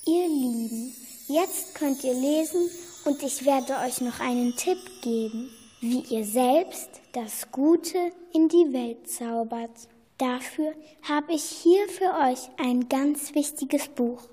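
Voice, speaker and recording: Slow, high-voiced kindly sorceress, played by a woman. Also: warm tone, breathy edge, heavily acted. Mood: kindly, instructive. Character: kindly sorceress setting riddles in a children's tale. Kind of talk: amateur children's radio play.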